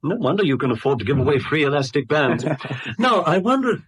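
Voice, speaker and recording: An actor playing a jovial, wily British bank customer in a radio drama, with droll, chatty, wheedling banter. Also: male voice, fairly high pitch, sing-song, fairly quick, fairly bright tone, slight background noise. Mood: droll, teasing. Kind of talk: 1970s radio drama acting.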